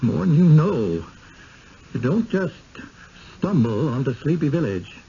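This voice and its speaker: An actor in a 1970s radio drama playing a folksy, uneasy village servant with a rural American accent, halting and plain-spoken. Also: male voice, fairly high pitch, sing-song, dark tone. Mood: urgent, foreboding. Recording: muffled, noisy old radio broadcast.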